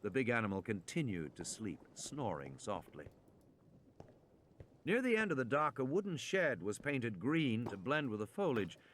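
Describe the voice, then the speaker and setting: A man reading a suspense novel as a narrator, with measured, enunciated dramatic delivery. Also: medium pitch, huge pitch swings, a bit bright, slight background noise. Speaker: audiobook narrator.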